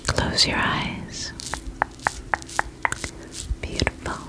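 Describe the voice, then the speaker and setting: A woman plays a gentle vampire spa attendant in an ASMR roleplay. She speaks in a soft, breathy whisper at an extremely unhurried pace. Her manner is soothing and attentive, guiding the listener through each step of a treatment.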